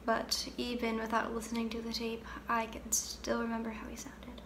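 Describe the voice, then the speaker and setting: A young woman playing a candid, grieving girl in a conversational, wistful dramatic monologue with pauses. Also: a little high, completely flat delivery, noisy background, close to an everyday voice. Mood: hushed grief.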